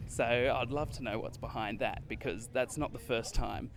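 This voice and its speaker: A man's voice: medium pitch, normal speed, background noise. A man hosting a casual travel podcast, chatting in a rambling, hesitant way peppered with filler words. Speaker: podcast host.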